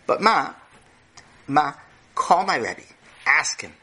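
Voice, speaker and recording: A man's voice: fairly high pitch, big pitch swings, takes it slowly, bright, forceful tone, slightly rough, full character voice. A man voicing a cheeky young boy who stammers and rambles through excuses. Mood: sheepish.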